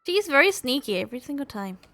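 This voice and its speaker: A woman's voice: high-pitched, very animated, fast, pushed and bright, soft background music. A woman game streamer reacting casually as she plays, close to the microphone. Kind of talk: casual reactions while playing.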